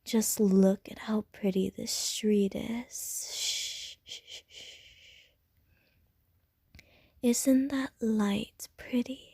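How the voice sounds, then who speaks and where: A woman's voice: mid-range voice, drawn-out words, pauses often, slightly rough, dry recording, clean studio sound, full character voice. A woman playing a whispering seductive vampire. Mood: sultry whisper. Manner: whispered, soft and teasing. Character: seductive hungry female vampire, flirtatious and coaxing. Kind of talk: ASMR audio roleplay.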